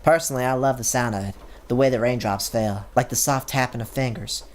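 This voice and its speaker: A young man doing let's-play commentary and reading game text aloud, energetic and joking with sudden exclamations. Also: mid-range voice, brisk. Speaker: gaming YouTuber.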